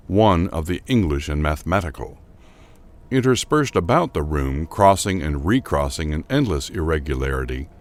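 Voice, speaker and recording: Low-voiced, highly expressive male gothic narrator. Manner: formal, theatrical, emphatic delivery. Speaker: narrator of an audio drama. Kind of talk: dramatic scripted narration of a gothic horror story.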